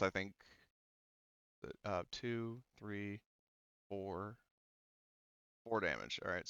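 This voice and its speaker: Man, game master, slow and halting, smooth low voice, dry dull recording. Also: a bit bright, no echo. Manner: halting, many ums, counts dice aloud. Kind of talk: running a tabletop game, rolling dice.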